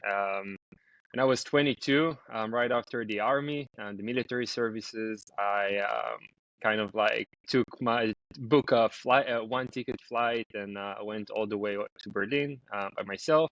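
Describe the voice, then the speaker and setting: A man's voice: fairly low pitch, moderate pace, fairly bright tone, dry recording. A young man from Israel, a startup founder talking loosely as a podcast guest, with lots of ums and restarts. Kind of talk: casual podcast interview. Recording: dry, slightly dull remote audio.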